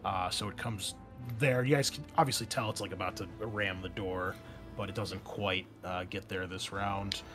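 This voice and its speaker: A man's voice: deep voice, fairly even intonation, moderate pace, over music. A man acting as game master, improvising narration and explaining rules in a conversational, wry way. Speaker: game master of a tabletop RPG.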